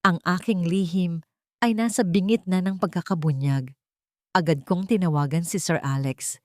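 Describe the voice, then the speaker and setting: A woman narrating a first-person dramatic audiobook in a steady, measured way. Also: fairly low pitch, no echo, clean studio sound.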